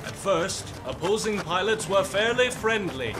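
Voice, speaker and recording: A high-voiced man plays a stern, theatrical flight instructor. He declaims pompously, like a narrator of an old military training film, with music playing behind him. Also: lively intonation, measured pace.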